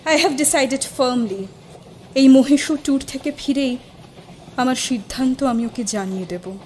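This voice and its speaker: An idealistic young woman art student from Kolkata, played by an actress. She speaks high and slow, earnest in tone, with English phrases woven into her talk, in the manner of a radio drama. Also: darkish tone, a little hiss or hum. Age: young adult. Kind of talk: audio-drama acting.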